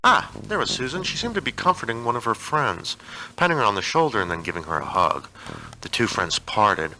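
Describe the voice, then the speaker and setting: A man narrates fiction in a low register with a bright, forward edge to his voice. He reads scripted prose in the manner of an audiobook. Clear background noise runs under the recording. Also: sing-song, brisk, low-quality recording. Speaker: narrator reading fiction aloud.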